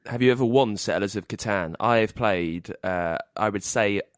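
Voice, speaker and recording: A man interviews in a conversational podcast style. His voice is a little low and recorded close and dry, with no room sound. He builds his questions haltingly, rewording them along the way. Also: dry recording.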